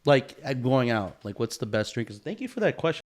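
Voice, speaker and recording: A man's voice: mid-range voice, big pitch swings, fairly quick. Swearing, laughing young Bay Area man. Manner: laughs a lot, swears freely. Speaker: podcast co-host. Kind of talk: casual crude banter.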